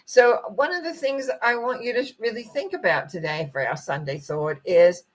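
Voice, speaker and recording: A middle-aged woman with a croaky, husky voice gives a gentle, meandering spiritual talk and often clears her throat. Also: a little high, moderate pace. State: croaky, rough voice. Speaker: spiritual teacher. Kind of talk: reflective talk to viewers.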